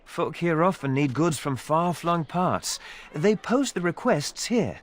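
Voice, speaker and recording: A man's voice: a little high, lively intonation, measured pace. A man playing a courteous, formal merchant guild townsman in a fantasy video game. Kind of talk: video game character dialogue.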